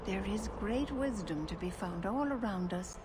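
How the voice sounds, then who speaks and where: An actress playing a wise, solemn elven clan keeper in a fantasy video game, speaking in a measured, formal way. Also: female voice, medium pitch, animated, heavy background noise, natural voice. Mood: calm, mystical. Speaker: clan leader and spiritual guide.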